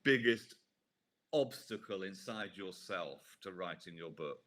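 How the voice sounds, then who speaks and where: Lively, upbeat male podcast host. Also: deep voice. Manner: upbeat and chatty, quick to laugh. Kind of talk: casual interview.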